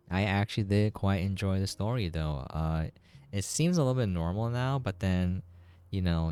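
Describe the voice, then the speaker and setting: Very deep, mellow man. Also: clean tone. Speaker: game reviewer. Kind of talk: casual unscripted game commentary.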